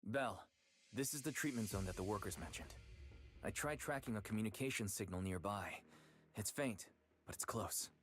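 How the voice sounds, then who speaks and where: Game role: man playing a steady, matter-of-fact coordinator, lowish voice, music clearly behind. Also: normal speed, natural voice. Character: calm, methodical young man coordinating a team. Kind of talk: video game voice acting.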